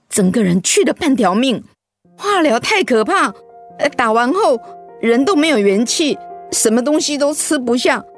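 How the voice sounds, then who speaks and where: An actress playing a stubborn woman of eighty in a radio drama, plaintive and insistent. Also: a little high. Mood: fearful, insistent. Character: stubborn eighty-year-old mother refusing treatment. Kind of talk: Mandarin radio drama acting.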